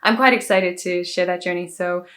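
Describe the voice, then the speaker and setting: A woman's voice: lowish voice, normal speed, a bit bright. A woman from South Africa with an accent shaped by years in Dubai, chatting to camera in a vlog and explaining things step by step. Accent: South African with a Dubai-influenced lilt. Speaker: vlogger. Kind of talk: casual talking-to-camera vlog.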